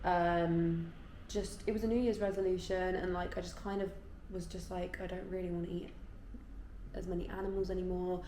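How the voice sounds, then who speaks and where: A woman's voice: on the low side, flat delivery, normal speed, mellow. A woman in her twenties chatting casually and informally as she answers viewer questions in a vlog, often saying "like". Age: 20s. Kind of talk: casual chat to camera, Q&A. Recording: echoey room with faint background noise.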